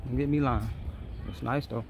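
A man's voice: mid-range voice, steady tone, moderate pace, gravelly, noisy background. A man in his thirties vlogging casually from a resort, talking into a phone camera at arm's length, laid-back and hyping his workout. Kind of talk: casual vlog chat. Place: resort gym, then walking outdoors and a buffet. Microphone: phone camera held at arm's length.

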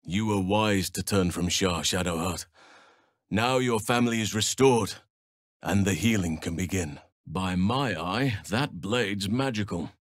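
An actor playing a gentle, solemn, protective elf druid in a fantasy video game, speaking in measured, earnest, formal phrases. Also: male voice, on the low side, no room sound, clean studio sound.

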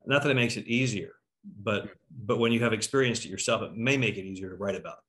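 A man's voice: lowish voice, fast talker, a bit bright, a little hiss or hum. A middle-aged man hosting a casual talkback over a video call, asking questions informally. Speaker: host moderating a talkback. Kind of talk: casual interviewing. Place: home room on a video call. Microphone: video call microphone.

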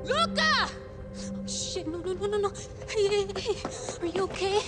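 A woman's voice: very high voice, expressive, normal speed. A woman playing a fiery, distrustful young street racer in a video game, blunt and streetwise in the way she talks. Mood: distressed. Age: young adult. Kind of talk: video game cutscene acting. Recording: loud music over the voice.

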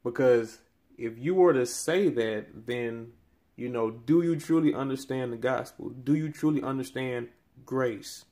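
A man's voice: measured pace, mellow. Earnest man preaching into his phone. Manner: preacherly, rising in pitch and force on key phrases. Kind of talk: earnest Bible-teaching commentary. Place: small room indoors by window blinds. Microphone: phone camera held close.

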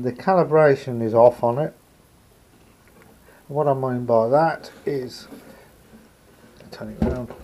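A man with a gravelly, husky voice gives an unscripted hands-on demonstration, thinking aloud hesitantly as he explains. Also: mid-range voice, mellow. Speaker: hobbyist radio repairer. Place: indoor room at a table.

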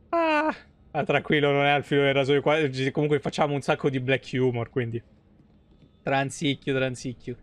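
A man in his thirties giving casual, joking, foul-mouthed live commentary on a game. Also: fairly high pitch. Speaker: game streamer. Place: small indoor streaming room at home. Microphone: close streaming microphone.

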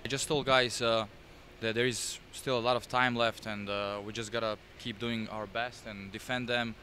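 A young college basketball player from Serbia, giving short halting answers full of fillers in a gym. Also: male voice, medium pitch, fairly even intonation, pushed and bright, a little hiss or hum. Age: young adult.

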